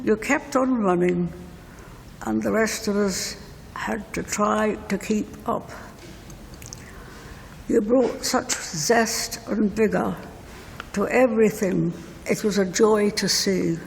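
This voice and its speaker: An older woman reading a prepared eulogy letter as a bereaved mother, in measured, deliberate phrases. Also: mid-range voice, fairly even intonation, creaky. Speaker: bereaved mother giving a tribute. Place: inside a church at a funeral service. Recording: reverberant church hall, faint noise.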